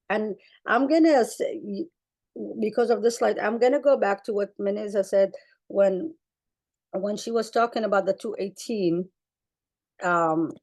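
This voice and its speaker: A woman's voice: mid-range voice, darkish tone. Earnest woman presenting. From Somalia. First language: Arabic. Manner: earnest, emphatic, repeats phrases for stress. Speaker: clinician presenting. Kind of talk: conference talk with slides.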